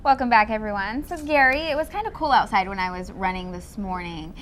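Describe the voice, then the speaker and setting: A woman's voice: medium pitch, a little fast, a bit bright, faint music behind. A woman news anchor chatting conversationally on air, picked up somewhat distantly. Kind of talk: conversational on-air handoff chat.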